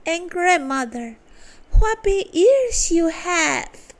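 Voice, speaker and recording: A woman voicing a sweet, innocent little girl in a storybook reading, high and sing-song. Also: lively intonation, slow.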